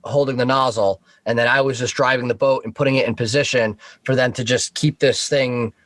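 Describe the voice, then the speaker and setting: A man tells work stories as a guest on a casual talk show. His language is informal and sweary, his pitch sits in the middle, and the sound is dry and close. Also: fairly even intonation, brisk, fairly bright tone, no echo. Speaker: podcast guest, Coast Guard boat operator.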